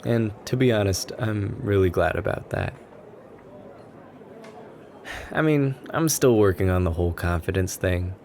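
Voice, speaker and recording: A man plays a bashful, kind-hearted writer making nervous small talk in an ASMR roleplay. His voice is low and soft, with an earnest, rambling delivery. Noticeable coffee shop ambience sits behind him. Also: expressive, normal speed, vocal fry, a little hiss or hum. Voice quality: gentle, soft-spoken. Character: shy, sweet, nervous writer chatting up a café stranger.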